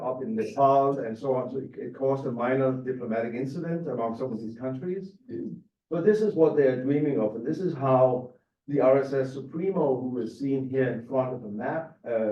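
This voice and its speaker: A man's voice: mid-range voice, mellow. A man giving a talk with slides on a panel, in an academic way with hesitant restarts. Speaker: panel speaker. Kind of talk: lecture with slides. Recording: roomy, distant, dull sound.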